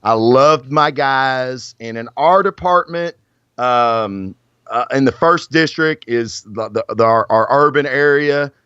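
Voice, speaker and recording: A man's voice: medium pitch, takes it slowly, bright, forceful tone, clear, resonant tone, dry recording. Man in his forties telling firehouse stories. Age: 40s. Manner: rambling storytelling with many fillers. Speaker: firefighter guest. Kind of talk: casual podcast chat. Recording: dull dry lo-fi audio.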